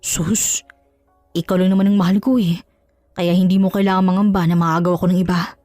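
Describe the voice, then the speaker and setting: A woman's voice: fairly low pitch, little pitch movement, a little fast, dark tone, no room sound, faint music behind, close to an everyday voice. A woman voicing a devoted young boyfriend in a love story, soothing and coaxing. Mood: tender. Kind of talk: dramatized audio love story.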